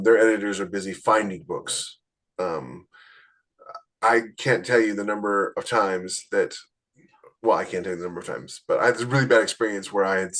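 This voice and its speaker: Man, slightly low voice, podcast co-host, informal conversational delivery, anecdotal. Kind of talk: casual, anecdotal podcast talk.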